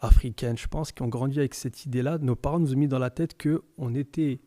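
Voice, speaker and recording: A man's voice: medium pitch, fast, dark tone, no echo. A young man from France, talking casually as a podcast guest in an informal, slangy way and often repeating words while he searches for them. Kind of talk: casual interview chat.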